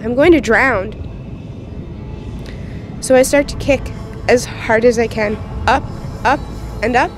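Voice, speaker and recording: A woman's voice: a little high, takes it slowly, pauses often, music in the background. A woman playing a teenage girl patient in a radio drama, confiding her eerie nightmares in a colloquial, youthful way. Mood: frightened, tense. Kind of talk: first-person radio drama narration and dialogue.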